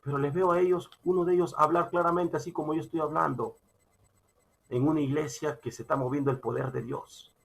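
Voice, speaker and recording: A man with a Peruvian accent preaching a sermon, emphatic and halting, repeating phrases as he teaches from scripture. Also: on the high side, steady tone, gravelly, breathy edge. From Peru. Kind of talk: sermon with Bible reading and personal testimony.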